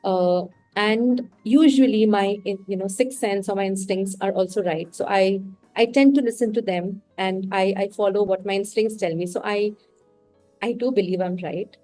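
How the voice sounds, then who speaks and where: A woman's voice: medium pitch, moderate pace, mellow, no echo, soft background music, low-quality recording. A woman from India with an Indian English accent, a podcast guest speaking candidly and reflectively. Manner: reflective and candid, talks through her thoughts. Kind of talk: casual interview conversation.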